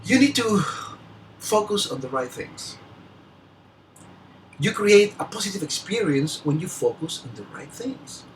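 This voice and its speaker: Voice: medium pitch, very animated, a little slow, pauses often, distant mic, a little hiss or hum, rough recording. A motivational speaker from Peru with a Peruvian Spanish accent and Spanish as a first language. They give an earnest, emphatic speech, laughing lightly and restarting words now and then.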